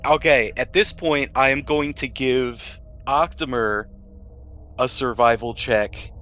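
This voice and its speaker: A man narrating a tabletop role-playing game as game master, with deliberate, descriptive storytelling and pauses. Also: mid-range voice, fairly even intonation, fairly bright tone, faint music behind.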